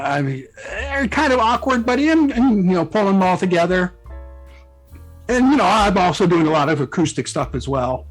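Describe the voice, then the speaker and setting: A man with a somewhat high-pitched voice talks casually as a guest in an interview. His phrasing rambles and hesitates as he goes. Faint music plays beneath him. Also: rough recording. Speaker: interview guest, fan fiction author and hobby musician.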